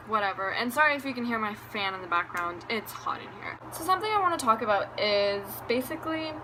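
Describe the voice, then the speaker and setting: A young woman from Venezuela telling a candid, rambling story time for her vlog, laughing now and then. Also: fairly high pitch. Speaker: beauty vlogger. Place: room at home with a fan running. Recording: fan hum in background.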